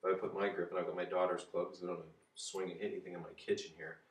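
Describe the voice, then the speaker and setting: A man in his forties, hoarse from a cold, casually giving golf swing tips while demonstrating at home. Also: sits low, little pitch movement, fast. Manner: easygoing, demonstrating swing positions while talking. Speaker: golf coach. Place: home kitchen and dining room, indoors. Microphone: far camera. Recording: echoey room, voice from a distance.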